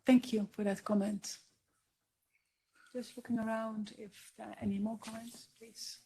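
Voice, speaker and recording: A woman's voice: fairly high pitch, flat delivery, a little slow, warm tone, poor audio. A woman moderating a formal roundtable discussion and inviting comments from the floor.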